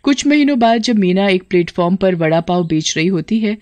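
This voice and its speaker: A woman narrating a cartoon horror story in a steady, scripted manner. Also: mid-range voice, brisk.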